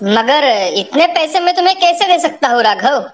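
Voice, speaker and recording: Cartoon village headman, shrewd and businesslike, slightly high pitch woman performing, music underneath. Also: lively intonation, normal speed. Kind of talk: cartoon moral-story dialogue.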